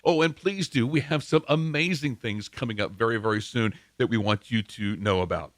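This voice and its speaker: A man's voice: mid-range voice, moderate pace, no echo. A man presenting an instructional podcast as its host, explaining things step by step and addressing the listener directly.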